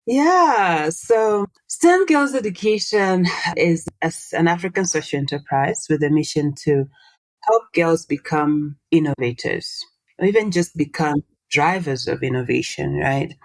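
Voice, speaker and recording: A low-pitched woman, a Swahili speaker in her thirties, talking in a relaxed interview. She explains things in an animated way and puts questions to her listener. The sound is close and dry, with no room around it. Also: measured pace, dry recording. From Tanzania. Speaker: guest, social entrepreneur. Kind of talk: casual interview conversation.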